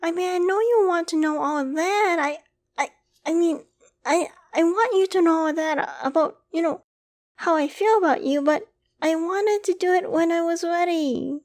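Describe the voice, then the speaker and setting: A woman's voice: very high-pitched, moderate pace. A woman playing a shy, loving, easily flustered young girlfriend in an intimate ASMR bedtime roleplay, speaking tenderly and hesitantly. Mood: flustered, embarrassed. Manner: tender, hesitant, soft-spoken with stammers.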